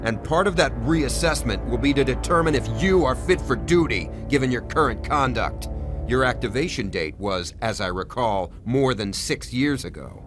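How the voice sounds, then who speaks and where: A man's voice: medium pitch, lively intonation, lightly acted. Man acting a stern ship commander over music. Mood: cold warning. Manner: formal, firm, deliberate authority. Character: stern, principled male navy ship commander. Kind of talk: motion comic voice acting. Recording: dramatic music behind.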